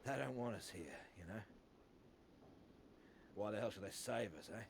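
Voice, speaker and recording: A man playing an injured, sardonic survivor with a gruff manner. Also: on the low side, animated, moderate pace, slight background noise. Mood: weary, bitter. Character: injured sardonic male survivor fond of whiskey.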